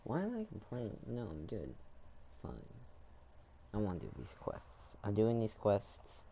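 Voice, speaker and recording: Very low male voice, creaky, casual game commentary, rambling, thinking aloud, music behind. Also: huge pitch swings, unhurried, dark tone, slight background noise. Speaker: video game streamer.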